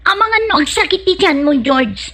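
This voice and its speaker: A woman's voice: extremely high pitch, animated, normal speed, sharp tone, heavily acted. A woman playing a haughty, teasing girlfriend in an Ilocano comedy radio drama.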